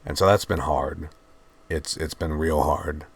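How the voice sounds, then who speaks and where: A man with a mixed Virginian and Georgian Southern accent, hosting his channel and talking in a subdued, halting, heartfelt way. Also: rumbling low, vocal fry, breathy edge. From Virginia, USA. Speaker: channel host. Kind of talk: reflective personal talk to camera.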